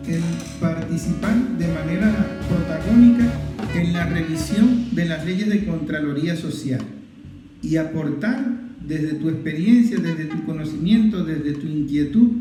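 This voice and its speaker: High-pitched, very mellow man in echoey room. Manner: emphatic, repetitive, building lists. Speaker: political commentator, host. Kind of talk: impassioned political monologue to viewers. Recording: roomy echo, guitar music behind.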